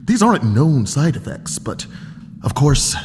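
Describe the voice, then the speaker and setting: An actor playing a careless island doctor-vet, blunt and offhand, who keeps giggling at bad news. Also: male voice, fairly high pitch, sing-song, takes it slowly, a little mellow. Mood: giggly. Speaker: physician and veterinarian. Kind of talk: comedic audio drama acting. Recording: reverberant room with music.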